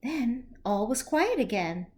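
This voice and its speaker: A woman with a high voice reads a picture book to young children. Her delivery is very slow and deliberate, and her melody swings widely as she tells the story expressively. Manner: animated, slow, deliberate, with questions to young listeners.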